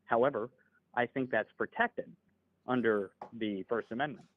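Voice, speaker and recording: Young man hedging on a tinny call. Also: medium pitch. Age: 20s. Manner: measured, hedging and polite. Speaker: caller. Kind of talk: casual political debate. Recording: tinny phone-like call audio, dry.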